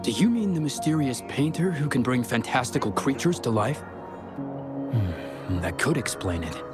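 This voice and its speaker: An actor playing a helpful mine patrol guard in a video game, measured and official, with music behind him. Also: male voice, mid-range voice, animated, natural voice. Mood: composed. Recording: music under the voice.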